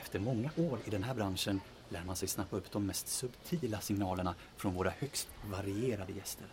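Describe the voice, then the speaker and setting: A man's voice: on the low side, a little hiss or hum, close to an everyday voice. A man playing a polite, discreet hotel receptionist in an audio drama, formal and chatty with guests. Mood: courteous, discreet. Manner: formal, courteous.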